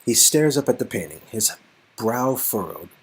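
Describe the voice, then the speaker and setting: A man's voice: mid-range voice, sing-song, normal speed, husky, rough recording. A man co-hosting a read-aloud of a story game, moving between scripted narration and casual reaction chat. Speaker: channel co-host, performer.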